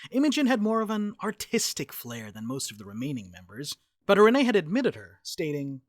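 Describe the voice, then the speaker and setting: A man's voice: a little high, wildly swooping pitch, a little fast, no echo, studio quality. A man reading scripted narration for a dramatic reading. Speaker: narrator reading stage directions.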